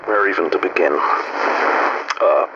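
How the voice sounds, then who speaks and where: Casting: driven colonial industrialist, deep voice, musing yet resolute, dictating a log through noisy radio in a sci-fi audio drama. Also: male voice, slow, dull audio. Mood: musing, distracted.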